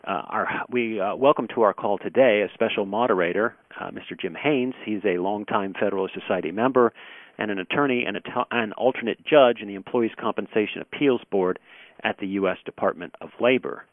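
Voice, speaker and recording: A man's voice: lowish voice, brisk, no room sound. A man formally introducing guests and housekeeping as the host of a conference call. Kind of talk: formal introduction, partly read. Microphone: telephone. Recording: dry, narrow telephone line.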